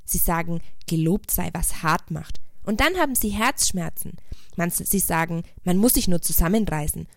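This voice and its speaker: A woman's voice: on the low side, lively intonation, normal speed, dry recording, studio quality. A woman voicing sadness as a weary, shy grey spirit in a storybook, with a brittle, hesitant, plaintive delivery. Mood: plaintive, earnest.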